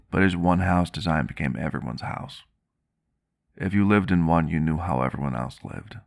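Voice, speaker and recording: A man playing a gentle, earnest vampire doctor in an ASMR roleplay, speaking in a measured, reflective and rather formal way with a gravelly voice. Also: extremely low pitch, heavily acted. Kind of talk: ASMR roleplay, soft intimate storytelling to the listener.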